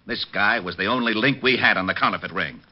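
An actor playing an exasperated, sarcastic New York police lieutenant in 1940s radio drama. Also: male voice, fairly low pitch, fast, close to an everyday voice. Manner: gruff sarcasm, easily irritated. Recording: dull vintage radio recording.

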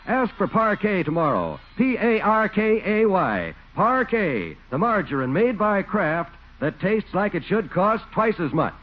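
A man's voice: sits high, sing-song, measured pace, few pauses. A man with an American accent announcing adverts and credits in polished 1940s radio style. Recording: muffled vintage 1940s radio broadcast.

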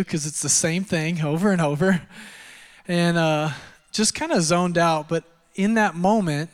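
Texas guy in his 30s preaching, chatty and self-mocking, higher voice, big church reverb. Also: smooth. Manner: conversational, self-deprecating storytelling with frequent fillers. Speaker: pastor. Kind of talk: sermon with personal testimony. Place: church auditorium. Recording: long hall reverb.

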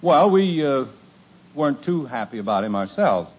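A wry, exasperated father in an old radio sitcom, his voice swinging widely up and down with a slightly husky edge. The recording sounds dull and dated. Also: medium pitch, big pitch swings, moderate pace. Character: exasperated suburban father, dry humor. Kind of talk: 1950s radio sitcom acting.

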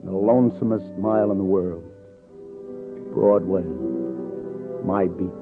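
Sardonic new cop, old radio crime show, tinny dry sound, faint music. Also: male voice, sits low, fairly even intonation, slow pace. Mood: brooding narration. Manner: sardonic, clipped tough-guy talk. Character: hard-boiled, wry New York male police detective. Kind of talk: 1950s radio crime drama acting.

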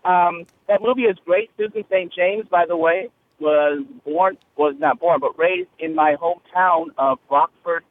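A man's voice: fairly high pitch, measured pace, few pauses. A man calling in by phone from his car, leaving a chatty, enthusiastic fan message. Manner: gushing, enthusiastic. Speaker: caller leaving a listener voicemail. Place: in a car, driving. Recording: narrow phone line, faint noise.

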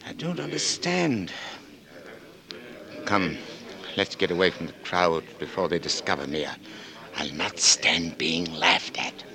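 An actor playing an earnest, anguished scientist with deliberate, halting theatrical delivery in a 1940s radio drama. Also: male voice, low-pitched, lively intonation, vocal fry, sharp tone, heavily acted. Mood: despairing. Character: earnest, anguished married male scientist, idealistic and humble. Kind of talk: 1940s radio drama acting, first-person narration and dialogue. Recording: old 1940s radio broadcast with music.

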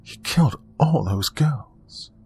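A man's voice: mid-range voice, lively intonation, slow pace, creaky, a little mellow, slightly rough, soft background music, pitched above the performer's own voice, heavily acted. A man voicing a defiant mother in her thirties in a thriller story, blunt, accusing and strained. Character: defiant mother in her late thirties, fearful but assertive.

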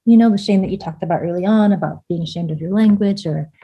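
A woman's voice: mid-range voice, fast, mellow, breathy edge, dull audio. A woman from the United States, born in Vietnam, speaking as an interview guest in a casual, reflective and candid way, with plenty of "like" in her sentences. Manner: candid, informal, peppered with "like".

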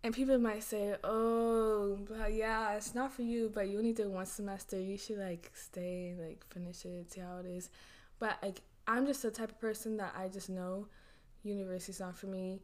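A young woman in her twenties chatting casually as a podcast guest, rambling with lots of fillers and quick giggles. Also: mid-range voice, steady tone. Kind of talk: casual chat.

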